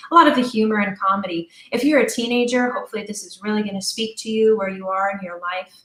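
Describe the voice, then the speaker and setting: A woman talking as an interview guest about her creative work, enthusiastic, animated and emphatic. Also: medium pitch, fast talker. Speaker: guest, audio drama creator. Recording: roomy, echoing sound.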